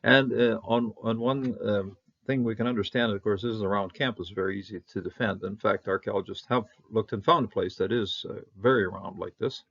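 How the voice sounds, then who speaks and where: A man with a low, husky, gravelly voice works through a scripture text, stopping and restarting often and lifting his voice on each foreign word. The recording sounds dull and lo-fi. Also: fairly even intonation, a bit bright. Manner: halting, many fillers, repeats, bursts on Hebrew words. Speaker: Bible Hebrew teacher. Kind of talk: unscripted verse-by-verse Hebrew lesson.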